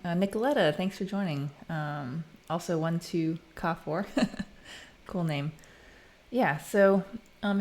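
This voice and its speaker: American woman streaming some coding, lowish voice, chatty and informal, kinda thinking out loud. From United States. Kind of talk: casual live stream chat while coding.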